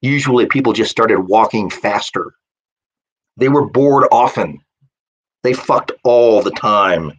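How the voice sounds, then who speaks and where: A man's voice: on the low side, slow, dull audio. A man reading his own short story live, as an author narrating fiction with bits of dialogue.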